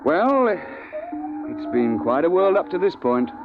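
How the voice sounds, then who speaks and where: A man's voice: high-pitched, huge pitch swings, slow pace, exaggerated voice. A man playing a suave, unflappable British big-game hunter in a 1950s radio drama, speaking in an urbane, understated way. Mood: calmly resigned. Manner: urbane, understated, drily unruffled. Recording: muffled old radio broadcast, music behind.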